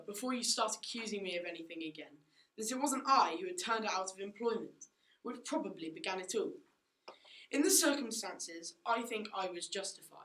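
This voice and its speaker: A woman's voice: medium pitch, fairly bright tone, close to an everyday voice. A teenage girl playing a haughty, self-righteous upper-class mother in a school radio play, with a dismissive, indignant manner. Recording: echoey room.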